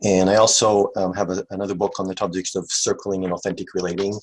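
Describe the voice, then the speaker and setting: A middle-aged man with a low, husky voice speaks on a video call. He is a nervous guest and stammers through his sentences, repeating words as he searches for what to say. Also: flat delivery, fast, poor audio. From Canada and the USA. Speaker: guest author. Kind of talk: interview conversation.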